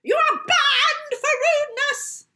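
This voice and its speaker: Shrill, shrieking, outraged shopkeeper lady. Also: steady tone, slow, bright, forceful tone, slight background noise, full character voice. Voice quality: shrill, squealing. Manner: scolding, exclamatory.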